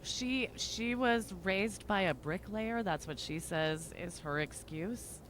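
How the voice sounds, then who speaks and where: A woman's voice: medium pitch, animated, takes it slowly, a bit bright, a little hiss or hum. A woman comedian guesting on a casual panel chat, laid-back and blunt, laughing easily.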